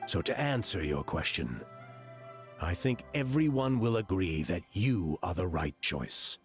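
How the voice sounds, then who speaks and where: Formal earnest tribe official for a video game, kinda deep, confident, lively intonation. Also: male voice, a little slow, soft background music. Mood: solemn, storytelling.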